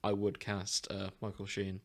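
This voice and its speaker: A man with a fairly low voice co-hosts a casual fandom podcast. He talks in an enthusiastic, jokey way, as if chatting with friends. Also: monotone, a little slow, gravelly. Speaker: podcast co-host. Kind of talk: casual fandom chat, some reading aloud of story summaries.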